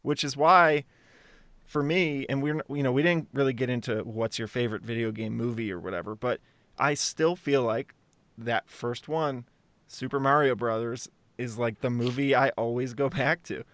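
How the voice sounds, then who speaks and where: A man with a middle-pitched voice speaks as a podcast co-host. He is conversational and works out his ideas aloud. The recording is very dry, with no room sound. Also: normal speed, a bit bright, dry recording. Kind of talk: casual podcast discussion.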